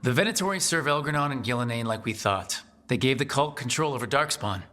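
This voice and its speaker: Cheeky young fantasy rebel hero. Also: male voice, medium pitch, expressive, quick pace, sharp tone. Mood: serious. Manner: flippant, conversational. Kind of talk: fantasy game voice acting.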